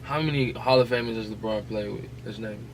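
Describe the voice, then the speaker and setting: A man's voice: lowish voice, fast talker, a little hiss or hum, poor audio. An American man co-hosting a podcast, debating sports in a casual, combative way, throwing out challenges and swearing freely. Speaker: podcast host.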